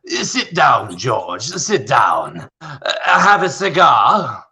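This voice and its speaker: A man acting as a rich, condescending, scheming old tycoon in a radio play, with a gravelly, theatrical delivery. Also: medium pitch, sing-song, extremely slow, bright, forceful tone, full character voice. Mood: falsely cordial.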